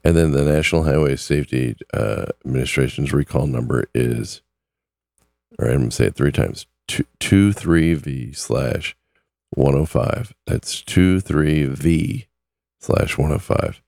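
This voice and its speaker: A man's voice: a bass voice, vocal fry, a little mellow, dry recording, studio quality. A man who is losing his voice, hosting a casual talk podcast in a chatty way. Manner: chatty, repeats numbers slowly. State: losing his voice, sore throat. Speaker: podcast host.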